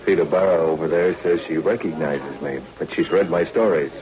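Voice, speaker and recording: A man's voice: deep voice, steady tone, brisk, a little mellow, lightly acted. An actor playing a wry, tough newspaperman and undercover agent in an old radio drama, slangy and clipped. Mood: wary, wry. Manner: slangy, clipped, hard-boiled. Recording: dull vintage radio, busy background chatter.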